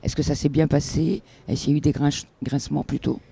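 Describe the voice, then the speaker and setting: A man interviewing someone, asking questions in a halting, hesitant way with repeated words. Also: a little high, fairly even intonation, creaky, mellow, breathy edge.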